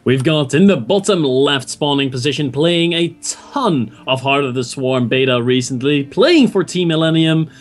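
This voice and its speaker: A man's voice: on the high side, moderate pace, hardly any pauses. A man casting a video game match, introducing players and thinking aloud about strategy with easy enthusiasm. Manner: excitable, laughs often, thinks aloud. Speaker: esports caster. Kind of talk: esports play-by-play commentary.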